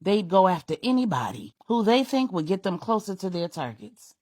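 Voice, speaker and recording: Woman acting out a capable, husky lady boss. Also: mid-range voice, big pitch swings, normal speed, a little mellow, no room sound, clean studio sound. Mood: explanatory, firm. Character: caring, capable woman boss of a crime family. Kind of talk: audio storytelling dialogue.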